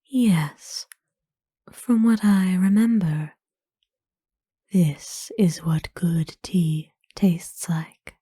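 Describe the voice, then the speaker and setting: Casting: woman as ancient vampire noblewoman for ASMR roleplay, very dark soft tone, breathy whisper, kind and formal, unhurried. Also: on the low side, steady tone, no room sound, studio quality, exaggerated voice. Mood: surprised, hesitant. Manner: formal, slow, tender, hesitant pauses. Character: kind, courtly centuries-old female vampire owner, gently doting. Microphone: close dry microphone.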